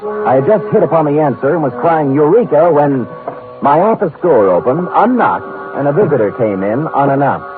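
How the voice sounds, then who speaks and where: A man's voice: fairly high pitch, big pitch swings, normal speed. An American man playing a cocky, wisecracking private detective in 1950s radio drama, with clipped dramatic delivery. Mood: dramatic, wry. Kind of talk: 1950s radio detective acting, first-person narration and dialogue. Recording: muffled vintage radio recording with music.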